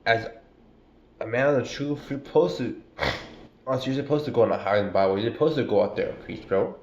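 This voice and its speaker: A man's voice: medium pitch, a little fast, darkish tone, echoey room, lo-fi sound. A man with a stuffy cold giving a casual, slangy, profane sermon, calling listeners "bro" and "man". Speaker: preacher. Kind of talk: casual street-style sermon.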